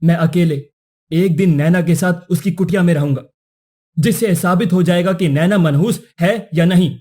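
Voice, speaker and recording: A man voicing a kind, protective young prince in an animated moral story, speaking formally and courteously. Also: high-pitched, normal speed. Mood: earnest, resolute.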